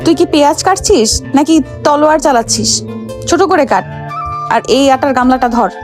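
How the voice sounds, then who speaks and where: A woman's voice: high voice, expressive, brisk, music in the background. A woman playing a haughty, hot-tempered young wife who bosses her servant husband in a romantic audio story. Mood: bossy, irritated. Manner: scolding, bossy, dramatic.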